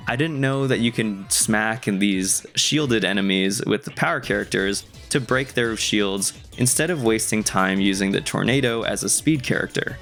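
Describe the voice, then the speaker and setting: A man's voice: fairly low pitch, fairly bright tone. A young adult man narrating a scripted video game review in a wry, conversational way. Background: music.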